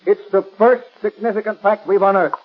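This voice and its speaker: Pompous police chief, high pitch, emphatic, earnest, muffled old radio recording. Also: male voice, steady tone, a little fast, soft background music. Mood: self-satisfied. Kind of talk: 1930s radio drama acting.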